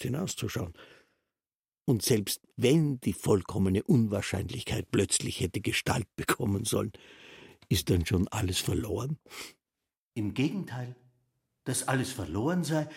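An actor playing a self-satisfied, long-winded official in a literary radio drama, musing softly and fervently in a husky, creaky voice. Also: male voice, on the low side, no room sound, clean studio sound, exaggerated voice. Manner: soft, self-absorbed, long-winded explaining. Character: rambling, self-satisfied male castle official, quietly fervent.

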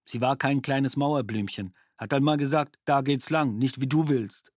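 An actor voicing a sober court reporter who reads out witness testimony in clipped, factual phrases for a documentary radio play. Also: male voice, medium pitch, moderate pace, a little mellow, dry recording, studio quality, lightly acted. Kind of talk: documentary radio play, read trial protocol.

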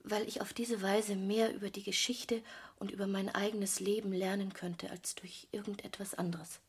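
A woman's voice: medium pitch, little pitch movement, moderate pace, slightly rough, lightly acted. An actress playing a self-possessed, hard-bargaining woman painter in a German radio drama, cool and assertive. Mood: earnest, quiet. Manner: cool, assertive, matter-of-fact.

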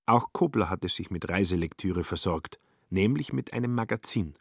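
Man, low creaky voice, wry literary narration, theatrical delivery. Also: animated, normal speed, dry recording, clean studio sound. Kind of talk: literary radio drama narration with acted dialogue.

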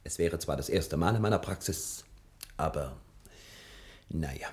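A man playing a brisk, theatrical detective in an old-fashioned radio drama, emphatic in delivery. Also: a bass voice. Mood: brisk, determined. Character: brisk, dramatic male detective on a case, finally defeated. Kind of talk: 1950s-style German radio drama acting.

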